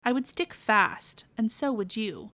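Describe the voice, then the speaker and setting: A woman's voice: fairly high pitch, animated, a little slow, sharp tone, no echo, exaggerated voice. A woman voicing a very fat elderly aunt pig, practical and complaining in an emphatic way, in a storybook. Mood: grumbling, emphatic.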